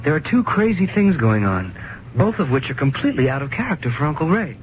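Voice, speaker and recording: A man's voice: a little high, big pitch swings, fairly quick. An actor playing an earnest, persistent young man in a 1970s radio drama. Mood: worried. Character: earnest young nephew learning the business. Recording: dull, faintly hissy old radio recording.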